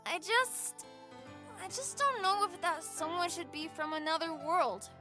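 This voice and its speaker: A woman's voice: very high-pitched, expressive, unhurried, music in the background. A woman playing a kind, earnest kid, wise beyond their years, in an audio drama. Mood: thoughtful, earnest. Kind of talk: scripted audio drama acting.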